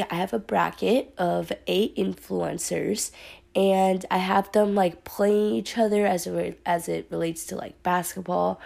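A young woman hosts her own podcast in a loose, chatty way, leaning on plenty of fillers. A sore throat leaves her voice slightly raspy with a gravelly edge. Her pitch sits in the middle for a woman. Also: a little mellow. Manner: chatty, upbeat, filler-heavy. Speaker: podcast host. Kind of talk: casual solo podcast talk.

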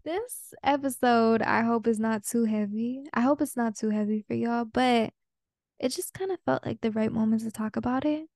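A woman's voice: on the high side, normal speed, a little mellow, no echo, studio quality. A young woman from Peru, a Spanish native speaker, hosting a solo podcast and talking in an earnest, open, casual way with some slang. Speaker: podcast host. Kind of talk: reflective solo podcast talk.

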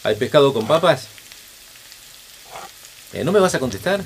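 A man's voice: mid-range voice, sing-song, moderate pace, a little hiss or hum. An actor playing an exasperated middle-aged Argentine husband and father, defensive and hesitant, in a naturalistic audio drama. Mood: casual, tentative, then defensive, uneasy. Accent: Argentine Rioplatense. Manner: hesitant, defensive, repeating himself.

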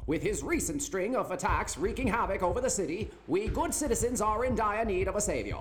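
A woman's voice: medium pitch, animated, brisk, slight background noise, lightly acted. A woman playing a solemn, dramatic television news anchor in a comic mock broadcast. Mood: urgent, solemn.

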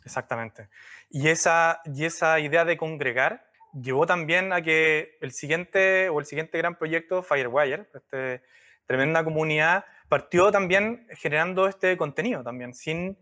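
A man's voice: fairly high pitch, a little slow, sharp tone. A man hosting an interview, speaking hesitantly and searching for words. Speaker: podcast host, brand strategist. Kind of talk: conversational interview.

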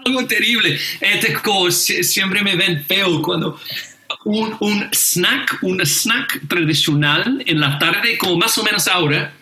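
Guy, kinda high voice, lots of ums, echoey room. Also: a little slow, sharp tone, slight background noise. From England. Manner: hesitant, many fillers and restarts. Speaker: seminary teacher, guest. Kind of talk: casual conversation.

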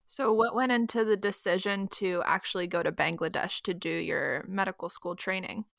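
Woman interviewer, gravelly creaky voice, measured questions, dry sound. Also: fairly low pitch, a bit bright, no echo.

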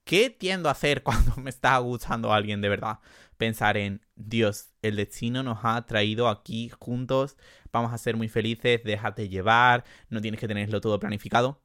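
A young man with an Andalusian accent hosting a casual solo podcast from a bedroom, chatty and self-mocking, quick to laugh. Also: lowish voice, fairly bright tone, clean tone, no room sound, clean studio sound. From Andalusia, Spain. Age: young adult. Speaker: podcast host. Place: his sister's bedroom.

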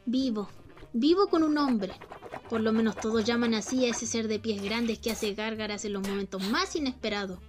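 A young Chilean woman plays a bored young wife, high-voiced and theatrical, swinging between wry musing and mock grandeur. Faint music plays beneath her radio-play monologue. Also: moderate pace, lightly acted. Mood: mock oratory. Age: young adult. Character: young bored wife plotting against husband. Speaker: student actor. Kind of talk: student radio drama acting.

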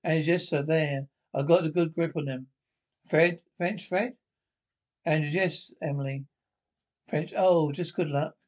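A man reading a radio drama script aloud, halting and stumbling over words, repeating some and reading out the speaker names. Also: fairly high pitch, fairly even intonation. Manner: reads speaker names aloud along with the lines. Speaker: amateur script reader.

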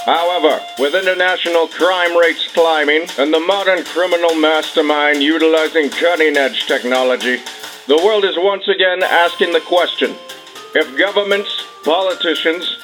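Casting: actor as solemn male newsreader, formal delivery, slightly high voice, music underneath. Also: moderate pace, lightly acted, poor audio. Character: serious male radio news reporter. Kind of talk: formal scripted news report.

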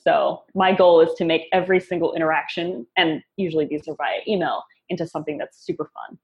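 A woman guest who writes conversion copy, talking in a casual, upbeat way with quick asides and self-deprecating humor. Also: deep voice, steady tone, dull audio. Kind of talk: casual interview talk.

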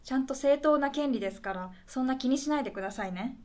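A woman's voice: sits high, lively intonation, quick pace, dark tone. A woman acting in a conversational radio drama as a polite welfare caseworker, patient, reassuring and a little tentative.

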